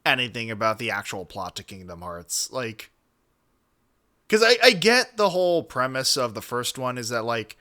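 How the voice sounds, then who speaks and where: A man's voice: mid-range voice, very animated, normal speed, sharp tone. A man streaming casual, rambling, profane reaction commentary.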